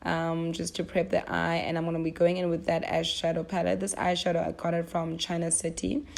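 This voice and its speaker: A young woman with flu, her voice rough, chatting through a makeup tutorial step by step. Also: lowish voice, flat delivery, a little fast, a little mellow. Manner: chatty, step-by-step, self-deprecating. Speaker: beauty vlogger. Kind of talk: casual makeup tutorial narration.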